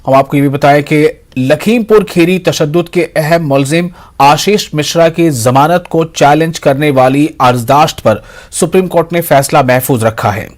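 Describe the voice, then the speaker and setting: A man's voice: fairly high pitch, normal speed. A man reading scripted news in a formal style as an anchor.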